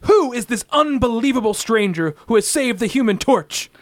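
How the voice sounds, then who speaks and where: A man's voice: extremely high pitch, lively intonation, normal speed, dry recording. A man narrating a comic-book radio play in a grandiose, theatrical style.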